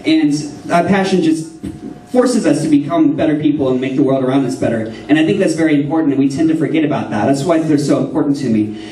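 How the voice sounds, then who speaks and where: A man performs a playful stage routine for a crowd, his voice sitting high and bouncing around a big hall. He jokes and laughs between lines, sounding distant from the mic. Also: fast talker, warm tone. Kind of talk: live stage routine with audience. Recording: roomy hall sound from far off.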